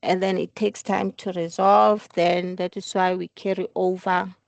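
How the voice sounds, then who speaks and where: A woman explaining work procedures in a meeting, halting at times and repeating her phrases. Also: lowish voice, little pitch movement, creaky, no room sound. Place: recorded online work meeting.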